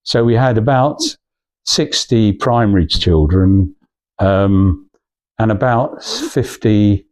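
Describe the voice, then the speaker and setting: A man's voice: mid-range voice, a little mellow. A male speaker gives an informal talk to a small group. His delivery is hesitant and anecdotal. He wanders through his points, often breaking off and starting a phrase again. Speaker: guest speaker at a club talk.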